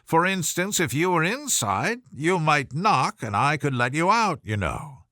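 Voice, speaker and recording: A man voicing an aloof, stubborn frog footman from a storybook, solemn, unhurried and oblivious. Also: fairly high pitch, big pitch swings, sharp tone, no room sound, studio quality, full character voice. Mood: aloof, matter-of-fact.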